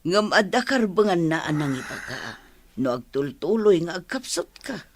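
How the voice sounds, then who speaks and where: Husky, anxious older village mother. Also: fairly low pitch, big pitch swings, moderate pace. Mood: worried. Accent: Filipino. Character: devoted older village mother missing her child, anxious, caring. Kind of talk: Ilocano radio comedy drama acting.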